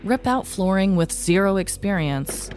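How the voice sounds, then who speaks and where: A woman co-hosting a comedy podcast, casual and playfully expressive as she chats and tells stories. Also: mid-range voice, measured pace, soft background music. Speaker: podcast co-host. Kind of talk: casual comedic chat and storytelling.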